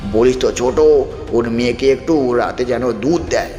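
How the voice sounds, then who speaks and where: A man's voice: mid-range voice, moderate pace, a little mellow, natural voice. A male narrator playing a dead matriarch still running her household in an audio ghost tale. She is homely, bossy and caring as she scolds, her words echoing over a music bed. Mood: fussy, instructing. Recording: roomy long reverb, music bed.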